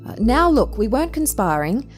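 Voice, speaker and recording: A woman acting a confident young student of time travel, composed and formal in her wording. Her pitch moves around in a lively way, and music plays beneath her in this fan audio drama. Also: moderate pace. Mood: indignant. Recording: music underneath.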